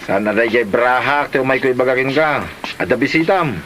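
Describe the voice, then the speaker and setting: Casting: actor as a wheedling brother in a comedy drama, medium pitch, faint background noise. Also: male voice, a little fast, close to an everyday voice. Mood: coaxing. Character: cajoling brother matchmaking his sister with a gambler. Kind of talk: amateur Ilocano comedy drama acting. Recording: noisy, steady hiss behind.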